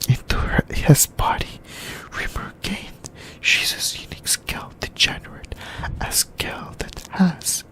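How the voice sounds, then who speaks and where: A YouTuber whispering an ASMR anime discussion in a halting way, in short broken phrases. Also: fairly bright tone. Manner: whispering throughout.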